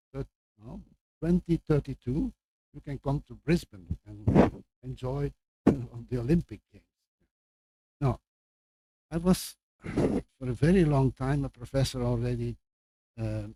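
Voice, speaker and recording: Older Flemish man with a very dark voice. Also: mid-range voice, poor audio. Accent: Flemish Belgian. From Belgium. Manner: halting, with repeated words and asides. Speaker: guest lecturer, medical professor. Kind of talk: informal lecture introduction to an audience.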